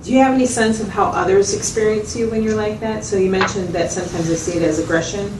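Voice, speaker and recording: A woman's voice: mid-range voice, fairly even intonation, quick pace, natural voice. A woman playing a patient mental health facilitator in a role-played client interview, asking checking questions and summing up with hesitant phrasing. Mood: calm, methodical. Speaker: clinician facilitator. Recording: roomy, distant mic, loud background noise.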